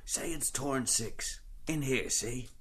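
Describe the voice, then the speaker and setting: A man's voice: medium pitch, slow, a bit bright, a little hiss or hum. An actor playing an eager, impatient inventor who shows off his gadget in insistent clipped phrases, in a dramatised novel. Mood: explaining. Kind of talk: dramatised literary audiobook dialogue.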